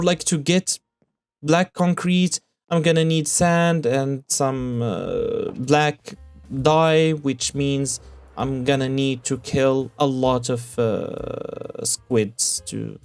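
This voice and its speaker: A young man who is sick and whose voice keeps cracking, narrating his Minecraft build in casual commentary, halting with lots of fillers and self-corrections. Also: mid-range voice, darkish tone. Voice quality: cracky, rough voice.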